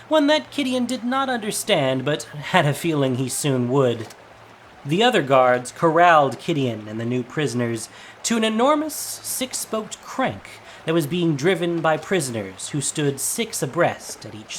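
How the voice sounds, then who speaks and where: Animated male story narrator. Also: a little high, slight background noise. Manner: dramatic, storytelling.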